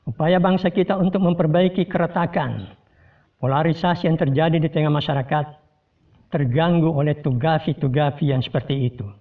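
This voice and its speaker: A man delivering a formal, measured scripted commentary to his viewers. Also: on the high side, fairly even intonation. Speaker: host giving a commentary. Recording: distant tinny phone-like sound.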